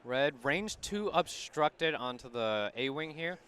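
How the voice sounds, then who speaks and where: A man's voice: mid-range voice, animated, slow, a bit bright. A man co-commentating a tabletop game live at a convention, who keeps ending his remarks with "right?". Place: convention center hall.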